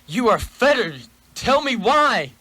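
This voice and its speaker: A man's voice: very high voice, very animated, slow, sharp tone, dry recording, full character voice, poor audio. A man playing a frightened, pleading miser in a radio play, theatrical and prone to stammering. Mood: terrified. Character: frightened, pleading miserly businessman facing a ghost.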